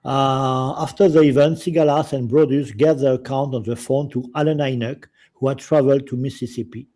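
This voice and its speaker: A man's voice: mid-range voice, fairly even intonation, brisk, dark tone. A man interviewing a guest. He speaks hesitantly, breaking off and restarting his phrases. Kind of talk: casual interview, partly reading aloud.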